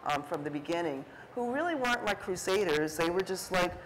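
A woman's voice: on the low side, lively intonation, fairly quick, a little hiss or hum, poor audio. A middle-aged woman, an artist on a panel, talking casually about her photography with many fillers and restarts, acting out quoted remarks as she goes. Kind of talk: conversational talk on stage. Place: stage at an art fair talk, indoors before an audience.

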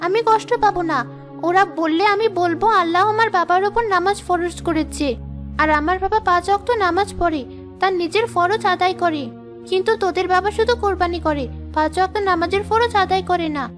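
A woman plays a young cartoon girl with an extremely high voice. She sounds earnest and sensitive, with a plaintive way of talking. Music runs clearly underneath. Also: fairly quick, a bit bright. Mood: earnest, devout. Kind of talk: cartoon voice acting, moral story.

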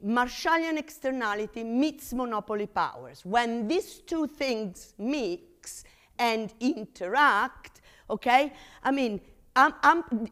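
A woman's voice: a little high, fairly bright tone. A middle-aged woman, a non-native English speaker, giving an academic conference lecture in an emphatic, explanatory way with frequent check-in tags. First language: not English. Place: university lecture room.

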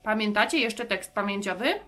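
A woman voicing a firefighter hand puppet, speaking deliberately and emphatically in a children's Bible segment. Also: mid-range voice, animated, fairly bright tone, faint music behind, close to an everyday voice. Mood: emphatic, encouraging.

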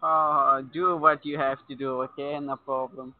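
A man's voice: mid-range voice, little pitch movement, measured pace. A man calling as a sales caller, insistent and repeating his script. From India. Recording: tinny phone line with music.

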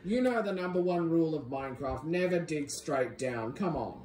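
A casual Australian voice mocks and scolds in lively swings of pitch. It is caught on a phone in a small, echoey bedroom with faint room noise. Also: moderate pace. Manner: mocking, bossy, jumps between high and low. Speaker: vlogger filming a family skit. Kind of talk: casual teasing banter. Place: small bedroom with blinds and a gaming desk. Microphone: handheld phone.

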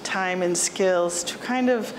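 A woman's voice: fairly low pitch, takes it slowly. A middle-aged woman giving her comments as a guest in an interview. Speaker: exhibition guest being interviewed. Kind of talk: spontaneous interview. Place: indoor art gallery. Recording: noisy gallery ambience.

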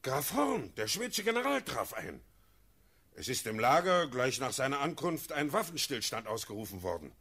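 An actor playing a grave officer bearing news in a classical radio drama, formal and husky, with dramatic delivery. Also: male voice, medium pitch, very animated, normal speed, pushed and bright, exaggerated voice. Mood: earnest. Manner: dramatic, formal verse narration.

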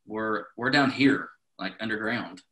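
A man's voice: on the low side, measured pace, bright, forceful tone, low-quality recording. A man hosting a casual podcast, laid-back and slangy, calling people dude. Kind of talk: casual chat.

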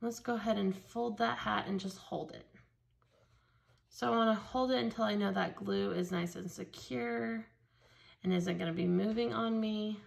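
A woman's voice: medium pitch, fairly even intonation, moderate pace, creaky. A woman teaching a casual craft tutorial, chatting enthusiastically as she works with her hands at a table. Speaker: craft store instructor. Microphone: overhead camera, only her hands seen.

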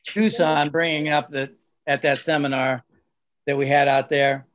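A man on a video call panel, speaking haltingly and repeating words as he restarts his sentences. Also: on the high side, flat delivery, fairly bright tone. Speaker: breeder panelist.

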